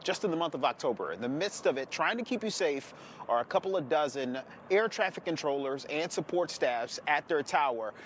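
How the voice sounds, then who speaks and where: A man's voice: fairly high pitch, expressive, fairly quick. A man with an American accent delivering a TV news report from outside near an airport. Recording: outdoor traffic and ambient noise.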